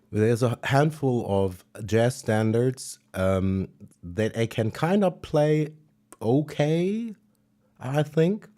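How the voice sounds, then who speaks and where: A man with a German accent talks through his livestream in a loose, rambling way. His voice sits fairly low, and he often pauses on fillers and pokes fun at himself. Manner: relaxed, self-deprecating, rambling with fillers. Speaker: livestream musician host. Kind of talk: casual livestream chat.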